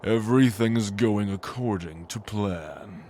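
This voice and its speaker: A man's voice: lowish voice, mellow, a little hiss or hum, lightly acted. A man playing an ancient, scheming angel lord in a fantasy audio drama, speaking in a pompous, sanctimonious and menacing way. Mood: cold contempt. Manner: pompous, slow, menacing declamation.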